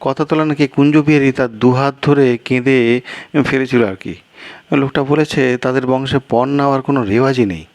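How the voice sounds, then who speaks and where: Male storyteller as nervous gullible man, raised pitch, flustered, stammering exclamations, dry close sound, audio story. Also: fairly even intonation, a little fast, darkish tone, no room sound, close to an everyday voice. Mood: earnest protest. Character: anxious, gullible man arranging his niece's wedding, easily flustered. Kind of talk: Bengali audio story character dialogue.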